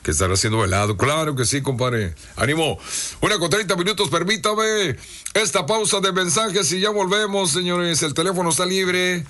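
A hoarse man, hosting a radio show and reading listener greetings in a chummy, casual way. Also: sits high, normal speed, bright, forceful tone. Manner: chummy, reads listener messages aloud. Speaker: radio host. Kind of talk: casual radio shout-outs.

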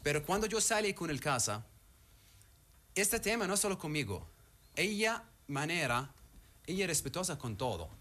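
A man with an Arabic accent, a guest in a casual interview, speaking in halting non-native phrasing with frequent pauses. His first language is Arabic. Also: a little high, sing-song, pushed and bright.

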